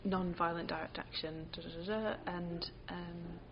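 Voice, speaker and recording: A woman's voice: lowish voice, steady tone, unhurried, noisy background. A woman interviewing casually, informal and agreeing, slipping quoted asides into her talk. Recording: muffled recording with background chatter.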